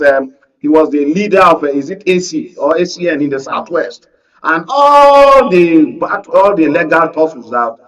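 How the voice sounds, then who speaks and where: Male Nigerian political analyst, husky voice, insistent panel debater, dull off-mic studio sound. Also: a little high, distant mic. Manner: insistent, holds the floor, repeats phrases. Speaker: panel guest, media analyst. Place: radio and TV studio.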